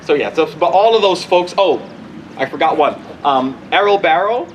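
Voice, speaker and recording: Guy in his 40s from barbados, bishop doing casual q&a outside, voice a bit high, stop-start talker, some noise behind. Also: animated, low-quality recording. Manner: halting, conversational, often restarting sentences. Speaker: bishop answering questions. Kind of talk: informal question-and-answer talk. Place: outdoors at a church gathering.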